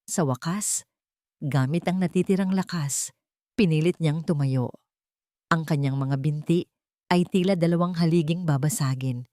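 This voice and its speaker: A woman narrating a dramatic romance story in a measured, somber reading. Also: sits low, a little mellow, dry recording, studio quality.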